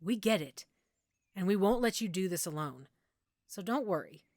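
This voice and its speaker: A woman playing a friendly werewolf pack member in an intimate audio roleplay, patiently explaining and gently reassuring the listener. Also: mid-range voice, animated, moderate pace, dry recording, studio quality. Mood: reassuring, compassionate.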